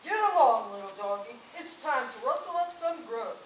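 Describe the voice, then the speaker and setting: A woman's voice: fairly high pitch, expressive, normal speed, close to an everyday voice. A woman playing a doting, quirky dog owner with theatrical delivery in a children's puppet show. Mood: playful drawl. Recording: roomy, distant, dull audio with hiss.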